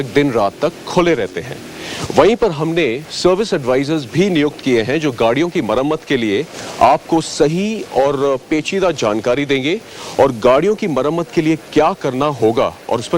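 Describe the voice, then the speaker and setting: A man delivers a scripted training presentation as a service advisor. His pitch moves in a lively way as he courteously instructs the viewer, with faint vehicle and ambient noise behind him.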